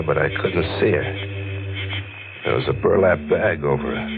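A man acting a wry, skeptical, streetwise investigator in a 1940s radio mystery, with clipped, slangy tough-guy delivery. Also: a bass voice. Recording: noisy, narrow-band old radio recording.

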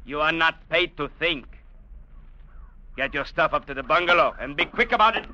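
An actor playing a domineering, sarcastic colonial trading captain in an old radio drama. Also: male voice, a little high, expressive, moderate pace, natural voice. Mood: bullying, irritated. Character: sneering, bullying colonial trading agent captain, a man. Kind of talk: 1940s-50s radio drama acting. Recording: muffled, hissy old radio broadcast.

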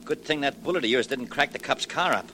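A man's voice: lively intonation, a little fast, close to an everyday voice. An actor playing a slangy, wisecracking American henchman and getaway driver in a 1940s radio crime drama. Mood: relieved, wry. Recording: noisy old radio recording, vehicle rumble.

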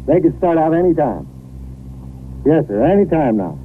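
Dutiful police officer, lively intonation, tinny fifties radio drama sound. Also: male voice, on the high side, moderate pace. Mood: urgent, dutiful. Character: alert male police officer reporting to superiors. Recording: narrow-band, noisy 1950s radio recording.

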